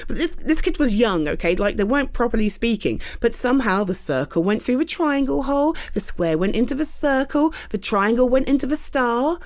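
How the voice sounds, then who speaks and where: Chatty British woman, lively pitch. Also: brisk, few pauses. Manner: chatty, rambling, self-deprecating, reassuring; swears casually, laughs often. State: tired. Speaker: audio roleplay performer. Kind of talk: scripted roleplay monologue to a friend.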